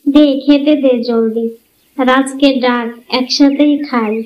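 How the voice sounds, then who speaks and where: Cartoon dub: woman voicing a scheming older sister, bossy and scolding, high-pitched, lo-fi. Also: fairly even intonation, slow pace. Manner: scolding, instructive. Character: bossy elder sister, conniving. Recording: muffled lo-fi audio.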